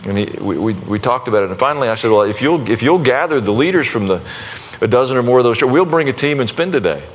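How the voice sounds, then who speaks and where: A man preaching to his congregation in a conversational, folksy way, with many restarts and a warm self-deprecating humor, then leading a prayer. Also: medium pitch, lively intonation, fast talker. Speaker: pastor.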